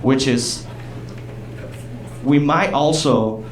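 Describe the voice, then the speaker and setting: A man from Chile performs live stand-up comedy, talking conversationally with pauses and bursts of exclamation. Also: mid-range voice, heavy background noise. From southern Chile. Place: small comedy theater stage, indoors. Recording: roomy live club sound, distant, audience. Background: audience laughter.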